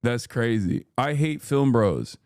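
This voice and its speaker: A man in his thirties from Turkey, streaming and riffing on chat messages in a loud, joking, slangy way with plenty of swearing. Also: medium pitch, sing-song, slow, no echo, clean studio sound. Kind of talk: casual livestream chat.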